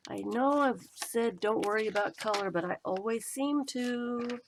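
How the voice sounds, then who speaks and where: A woman crafting and talking to herself as she works, her voice going hoarse. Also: fairly high pitch, unhurried, background noise. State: voice giving out, hoarse. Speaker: collage journaling vlogger. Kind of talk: casual running commentary while crafting.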